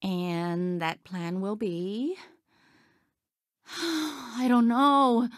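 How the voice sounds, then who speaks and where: A young woman voicing a brave, earnest, good-hearted young woman warrior for a video game, in expressive short lines. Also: a little high, drawn-out words, dry recording, studio quality. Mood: musing. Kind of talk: video game character voice clips.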